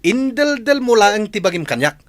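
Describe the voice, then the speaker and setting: A man's voice: high-pitched, sing-song, normal speed, a little hiss or hum. An actor playing a brazen, irritable unfaithful man, brusque and defiant, in an Ilocano radio drama, with an Ilocano accent. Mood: defiant, irritated.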